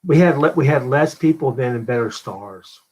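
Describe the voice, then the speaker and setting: A man with a husky, slightly breathy voice chats with a livestream host. He talks in quick, casual bursts. His pitch stays in a middle range. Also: lively intonation, darkish tone, rough recording. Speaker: guest on a call. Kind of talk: casual chat.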